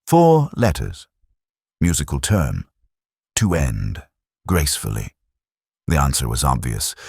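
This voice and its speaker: A man narrating a scripted first-person detective story in a measured, reflective, literary manner. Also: a bass voice, no room sound, studio quality.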